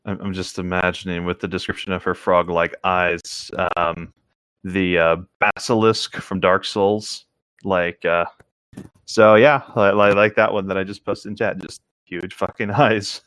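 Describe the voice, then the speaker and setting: A man's voice: low voice, fairly even intonation, unhurried, a bit bright, smooth, dry recording. A man reading aloud and chatting casually, with informal, hesitant asides and mild swearing. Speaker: podcast co-host reading a story aloud.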